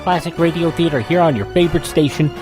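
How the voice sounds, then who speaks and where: A man hosting a classic radio podcast, wrapping up with relaxed plugs. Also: a little high, quick pace. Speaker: podcast host. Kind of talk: casual closing announcement. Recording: voice over music bed.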